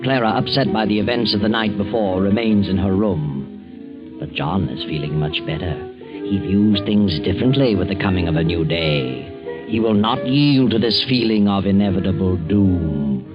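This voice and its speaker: Guy doing old radio suspense narrator, low ominous voice, loud music under him, muffled sound. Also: moderate pace, natural voice. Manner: dramatic, portentous. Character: ominous omniscient male mystery narrator. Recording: dull vintage radio, loud music behind. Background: loud dramatic music.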